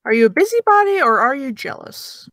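A woman's voice: high voice, sing-song, a little fast, fairly bright tone, no room sound, clean studio sound. A young actress playing a sarcastic young woman who teases and needles in an audio drama. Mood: mocking. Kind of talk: audio drama dialogue of a video call.

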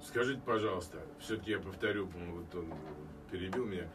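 A middle-aged man hosting a radio interview, asking brisk questions and interjecting with jokey asides. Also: low-pitched. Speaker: radio host. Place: small radio studio. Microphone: headset and studio mic, captured by far camera. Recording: distant camera audio with background noise.

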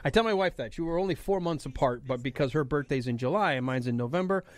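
A man's voice: mid-range voice, lively intonation, fast talker, no echo. A man in his fifties guest hosting a radio talk show, joking and reading out entertainment news. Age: 50s. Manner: jokey, reads news items aloud.

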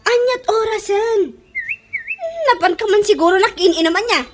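A woman's voice: very high-pitched, moderate pace, slight background noise. A woman playing a nagging, suspicious middle-aged village wife in an Ilocano comedy radio drama, whiny and scolding with a Filipino Ilocano accent. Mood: exasperated.

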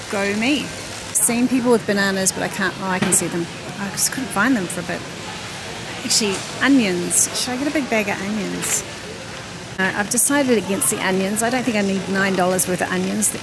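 A woman, chatting to the camera while shopping and reading out prices as she goes. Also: mid-range voice. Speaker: vlogger. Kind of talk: shop-with-me vlog narration. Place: large warehouse store, indoors. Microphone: handheld phone camera. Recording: noisy warehouse store ambience. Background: store ambience.

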